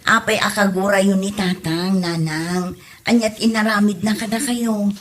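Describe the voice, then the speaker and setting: A woman's voice: mid-range voice, steady tone, normal speed, lightly acted. A woman playing an exasperated married daughter who questions and scolds, with an Ilocano Filipino accent, in a comedy audio drama. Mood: puzzled, exasperated. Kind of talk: comedy radio drama acting.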